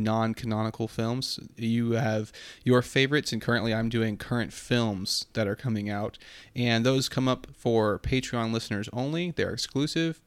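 A man hosting a film podcast, his voice gravelly from a cold, with dry, wry humor. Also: fairly low pitch, dry recording. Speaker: podcast host. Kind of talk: casual podcast chat.

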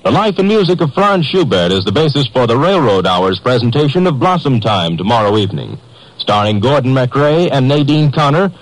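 A man's voice: mid-range voice, no room sound, rough recording. A man with an American accent reading scripted promos as a 1950s network radio announcer.

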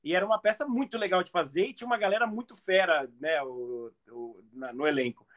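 A man with a notably high voice speaks as a guest in a casual interview. He tells anecdotes in an animated, conversational way, as a comedian would among peers. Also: fairly quick. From outside Brazil.

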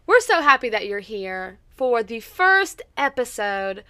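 Woman in her thirties, podcast co-host; bright, lively voice, sarcastic and playful, close dry mic. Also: on the high side, measured pace, no echo. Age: 30s. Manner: sarcastic, playful, laughs often. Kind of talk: casual chat.

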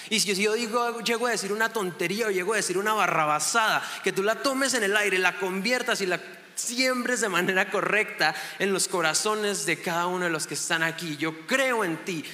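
A man's voice: high-pitched, bright, forceful tone. A man in his thirties from Chihuahua, Mexico, with a northern Mexican accent, preaching a casual sermon in a church and often restarting his sentences. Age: 30s. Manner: chatty and self-joking, with many restarts. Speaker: guest preacher. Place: church hall. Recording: echoey hall with long reverb.

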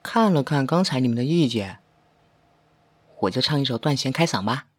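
A man playing a cocky young amateur voice actor in an online radio drama, with a theatrical, expressive delivery. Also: mid-range voice, moderate pace, no echo, close to an everyday voice. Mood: nonchalant, playful.

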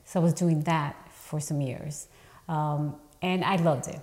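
Low-voiced woman in her fifties. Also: steady tone, unhurried, a little mellow. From Dominican Republic, raised in New York. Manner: earnest, talks through her story with many ums. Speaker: real estate attorney, podcast guest. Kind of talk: casual interview talk.

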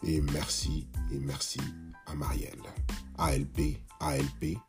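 Male interviewer for a light podcast. Casual, playful, teasing delivery over clearly audible music. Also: very deep voice, sing-song, a little slow. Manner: informal, teasing, jokes along with the guest. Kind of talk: playful quick-fire interview.

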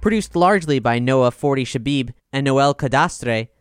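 A man's voice: medium pitch, lively intonation, moderate pace. A man reading a scripted music review as narration. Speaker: reader of album reviews.

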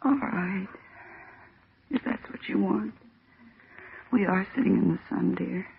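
A woman's voice: mid-range voice, slow, vocal fry. An actress in an old-time radio drama playing a terrified, trapped woman struggling to stay sane, with a tremulous, pleading, intense delivery. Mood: tearful, resigned. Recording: noisy, tinny old radio recording.

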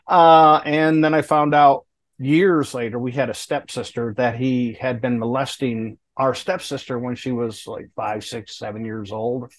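A man's voice: mid-range voice, fairly even intonation, measured pace, no room sound. A man in his late fifties, a guest talking candidly and plainly in a casual interview. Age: 50s. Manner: candid, plainspoken, laughs between hard stories.

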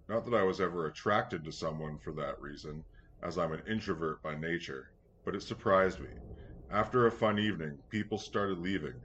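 Male story narrator: deep, measured, earnest; muffled recording with audible background noise. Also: a bit bright. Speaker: story reader. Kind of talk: scripted first-person story narration. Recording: dull lo-fi sound, clear background noise.

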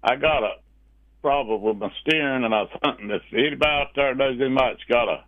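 A man's voice: mid-range voice, fast talker, dry recording. Man on a thin phone line. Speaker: phone-in caller. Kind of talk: casual phone-in talk.

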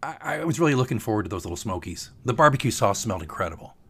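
A man's voice: lowish voice, sing-song, rapid-fire, fairly bright tone, breathy edge, full character voice. A man playing a grouchy, restless man who grumbles with irritation, in a narrated story with music behind him. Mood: sullen. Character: grouchy, restless man nursing martinis on a boat.

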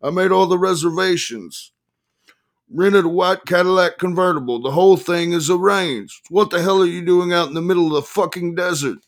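A man's voice: sits high, moderate pace, pitched above the performer's own voice. A man voicing an exasperated, profane attorney scolding someone over the phone in a story reading. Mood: angry, exasperated. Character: deranged, shouting male attorney on a phone call. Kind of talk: audiobook character voice.

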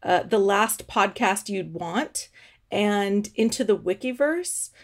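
A woman's voice: medium pitch, expressive, slow. A woman hosting a podcast, chatting easily and laughing often during an interview over a video call. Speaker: podcast host.

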